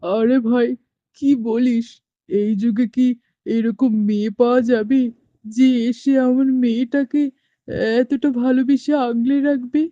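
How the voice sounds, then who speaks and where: A woman's voice: fairly high pitch, steady tone, unhurried, dark tone, no room sound, close to an everyday voice. A woman voicing a poor, worried widowed farmer father who pleads for help in a cartoon. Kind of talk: cartoon voice acting. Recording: dull lo-fi dry dubbing.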